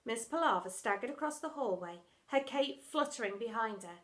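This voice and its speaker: A woman reading a children's story aloud as a storyteller, in an expressive, theatrical and deliberate manner. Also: a little high. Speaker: storyteller, school story reader.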